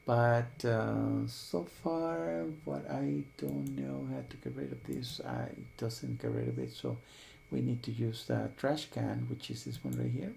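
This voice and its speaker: A man hosting a software tutorial, explaining each step while thinking aloud. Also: mid-range voice, unhurried, vocal fry, few pauses, a little hiss or hum. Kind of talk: screen-recorded tutorial.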